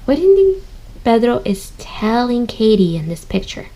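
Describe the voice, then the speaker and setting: A woman's voice: on the high side, big pitch swings, moderate pace, warm tone, poor audio. A woman teaching a children's read-aloud reading lesson, addressing her listeners directly and thinking aloud.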